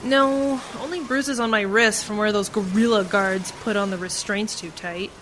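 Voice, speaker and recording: A woman's voice: medium pitch, fairly even intonation, moderate pace, a bit bright, natural voice. A woman playing a defiant, bitter prisoner in a sci-fi radio play, wary and pointed in her questioning. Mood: bitter, resentful. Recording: noisy community radio drama recording.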